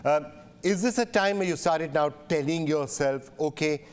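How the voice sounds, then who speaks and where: A man's voice: a little high, normal speed, smooth. A man hosting an interview, asking probing questions and often repeating phrases as he builds them. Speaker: interviewer, news show host.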